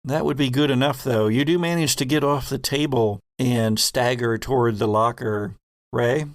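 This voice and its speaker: A man's voice: medium pitch, steady tone, moderate pace, no room sound. A man narrating a horror tabletop roleplaying game as game master, in a descriptive storytelling manner.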